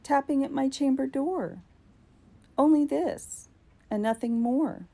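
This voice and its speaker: A woman's voice: sits high, takes it slowly, vocal fry, dark tone, voice pushed up, heavily acted. A woman voicing a grieving, nervous scholar alone at midnight in a theatrical, emphatic poetry reading. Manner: theatrical, emphatic, swooping upward.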